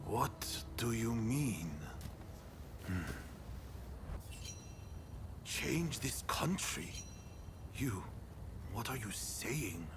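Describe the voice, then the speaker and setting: An actor playing a stern, wary samurai clan leader with a grave, formal manner in a video game cutscene. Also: male voice, mid-range voice, animated, extremely slow, pauses often. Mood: wary disbelief. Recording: music behind the voice.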